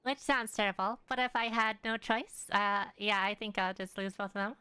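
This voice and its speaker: A woman's voice: mid-range voice, steady tone, moderate pace, bright, forceful tone, smooth, dry recording. A young woman live streaming a game from her room, chatting offhandedly and rambling as she answers viewers. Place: room at home.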